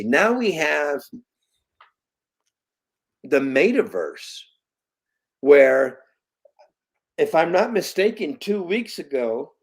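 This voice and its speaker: A man in his late fifties, a guest in an interview, talking earnestly in halting bursts with many restarts. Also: fairly high pitch, gravelly. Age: 50s. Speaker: guest, parent. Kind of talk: conversational interview.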